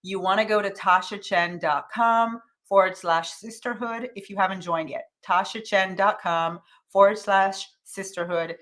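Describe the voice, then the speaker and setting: A woman's voice: mid-range voice, steady tone, unhurried, a bit bright. A woman coach hosting a casual motivational live stream. She chats upbeat, reads out viewer comments by name, and keeps repeating calls to action. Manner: repetitive, urging calls to action.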